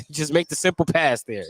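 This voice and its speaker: A man's voice: a little high, lively intonation, a little slow, a bit bright, poor audio. A man doing live play-by-play commentary of a basketball game. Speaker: play-by-play announcer. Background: basketball gym ambience, bouncing balls.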